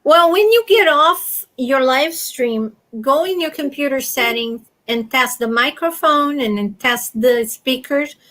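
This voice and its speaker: A woman hosting a casual livestream chat, talking loudly and animatedly and laughing often. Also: high voice, unhurried, hardly any pauses. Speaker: livestream host.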